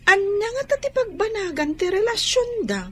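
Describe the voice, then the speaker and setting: A woman's voice: very high voice, big pitch swings, moderate pace, sharp tone, heavily acted. A woman playing a gossipy, giggly young daughter with a Filipino accent in a radio drama. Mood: amused. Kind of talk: Ilocano radio drama acting.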